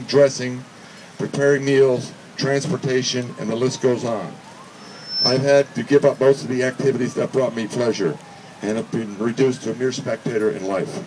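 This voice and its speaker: A man reading a prepared speech at a charity event in an earnest, measured way, occasionally stumbling over words. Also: medium pitch, a little mellow. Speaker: speaker reading another person's speech. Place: charity event finish line, before a gathering. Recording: distant PA speech with background noise.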